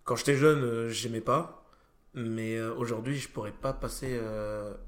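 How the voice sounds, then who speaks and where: A young man from France hosts a relaxed conversation at a middle pitch. He hesitates often, restarting his sentences and filling pauses. The room around him is echoey. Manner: hesitant, many fillers and restarts. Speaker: podcast host. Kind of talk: casual chat.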